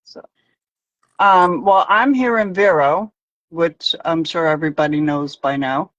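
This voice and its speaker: A woman's voice: sits low, a little slow, dry recording, dull audio. A woman hosting a casual live crafting chat on a video call, talking in a rambling, hesitant way. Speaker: host crafter.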